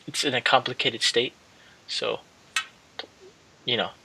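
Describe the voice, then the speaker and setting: A man's voice: mid-range voice, fairly even intonation, a little slow, vocal fry, bright, forceful tone, slightly rough, poor audio. A young man hosting a gaming channel, chatting casually in a rambling, hesitant way that often repeats and trails off. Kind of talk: casual rambling video outro.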